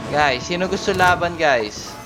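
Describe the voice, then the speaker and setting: A man's voice: fairly high pitch, expressive, normal speed. A man with a Filipino accent giving casual, playful, reactive commentary while playing a game. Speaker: gaming streamer. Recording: loud game music and effects behind.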